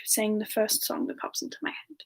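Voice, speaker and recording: A woman hosting a semi-ASMR question session, chatting casually in a soft-spoken, gentle and affectionate manner. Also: a little fast. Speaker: ASMR channel performer hosting a live Q&A in character.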